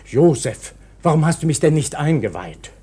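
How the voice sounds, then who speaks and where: A man's voice: on the high side, very animated, moderate pace, dark tone, breathy edge. An actor playing a fussy, alarmed older uncle in a radio drama, urgent and insistent, repeating his words. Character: fussy, alarmed older uncle anxious about family honour.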